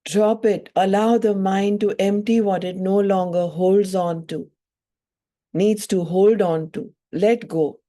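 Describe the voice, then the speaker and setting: A woman yoga teacher leading a guided meditation in soothing, measured phrases with long pauses between them. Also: medium pitch, fairly even intonation, dark tone.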